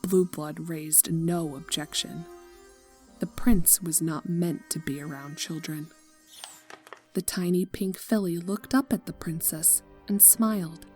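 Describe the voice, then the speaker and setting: A woman reads a tragic story aloud with a somber, hushed delivery in a fairly low voice. Her lines often fade into near-whispers. Soft guitar plays faintly behind her. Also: slow. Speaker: fanfic reader. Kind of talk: scripted narration of a tragic story. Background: soft guitar music.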